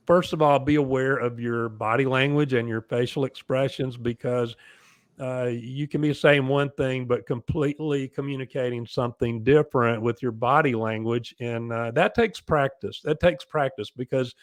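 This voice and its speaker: A middle-aged man speaks as a guest advising parents in an interview. His voice is a booming bass-baritone, recorded dry. He talks conversationally, pausing and doubling back on words as he explains. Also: dry recording.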